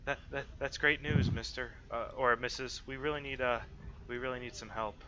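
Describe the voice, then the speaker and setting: A timid, polite nine-year-old girl, acted out by a man with a bright voice in a tabletop game. She speaks haltingly and stammers as she asks for help, on a boxy, faintly hissy recording. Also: mid-range voice, steady tone, muffled recording, voice pushed up, close to an everyday voice. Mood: pleading. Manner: hesitant, stammering.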